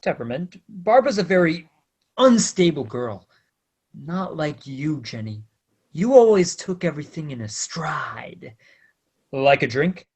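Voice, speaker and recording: An actor playing a smug, menacing small-time blackmailer in an old-time radio crime drama, talking in taunting slang. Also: male voice, a little high, big pitch swings, unhurried, breathy edge, exaggerated voice.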